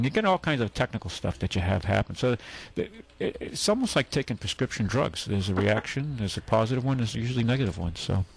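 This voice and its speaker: Gravelly-voiced man hosting car advice. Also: lowish voice. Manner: rambling, folksy, self-correcting explanations. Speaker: radio car-advice host. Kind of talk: call-in radio advice.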